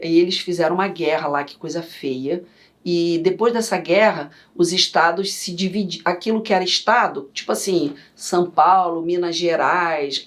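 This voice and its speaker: A middle-aged woman from Yugoslavia, chatting as an interview guest and telling stories in an animated way. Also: fairly low pitch. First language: Serbian. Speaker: guest, vocal coach. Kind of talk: casual interview chat.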